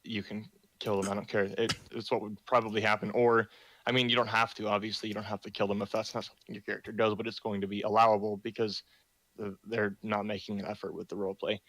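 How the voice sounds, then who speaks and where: Young man, low flat voice, dry close mic, reads server rules over voice chat, lots of ums. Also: fast talker, no echo, poor audio. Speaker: meeting host for a roleplay community. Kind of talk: reading rules aloud in a voice chat meeting.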